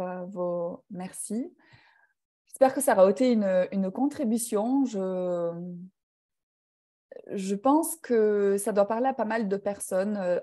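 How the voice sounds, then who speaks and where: A woman's voice: medium pitch, warm tone. A woman leading an online personal growth class, speaking reflectively with frequent hesitations. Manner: reflective, hesitant with many fillers. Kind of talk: live online talk to participants.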